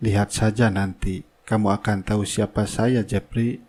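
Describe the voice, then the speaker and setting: A man's voice: fairly low pitch, flat delivery, normal speed, darkish tone, faint music behind, close to an everyday voice. A calculating young cartoon-drama worker, voiced by a man. He sounds polite and meek on the surface, with a sly, self-serving streak underneath. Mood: sly, smug. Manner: deferential aloud, sly in private. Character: scheming gold-digging young worker, outwardly meek and innocent. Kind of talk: cartoon drama dialogue and inner thoughts.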